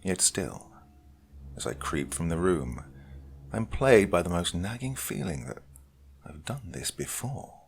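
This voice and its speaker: A man with a deep, gravelly voice reads a dark tale with theatrical swings in volume. He drops to hushed whispers and then rises again. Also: big pitch swings, a little slow, slight background noise. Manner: dramatic, swinging between loud and whispered. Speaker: story reader. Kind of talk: dramatic horror story reading.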